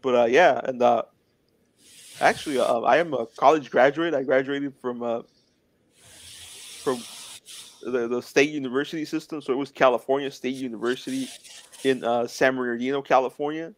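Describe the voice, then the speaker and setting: A man's voice: mid-range voice, steady tone, normal speed, long pauses. A man in his twenties, a guest in a casual interview, talking in an earnest, plainspoken way. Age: 20s.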